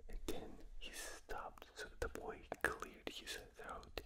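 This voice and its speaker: A man with a non-native English accent whispering a book aloud for binaural ASMR, reading with small slips and restarts, recorded in a dark room at night. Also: slow. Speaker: reader. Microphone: binaural microphone.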